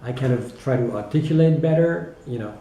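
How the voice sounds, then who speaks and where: Halting French man in an echoey room. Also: mid-range voice, dark tone, slight background noise. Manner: hesitant, halting, often checks with "okay". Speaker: teacher, interview guest. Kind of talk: interview answers, reflective explaining. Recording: echoey, roomy sound.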